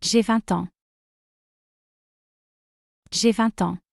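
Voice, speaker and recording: A woman speaking very slowly and deliberately, giving each short phrase twice as a model for learners. The sound is dry and close, with no room echo. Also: fairly high pitch, steady tone, creaky. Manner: careful, deliberate enunciation. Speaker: pronunciation model. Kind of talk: slow repeated drill phrases.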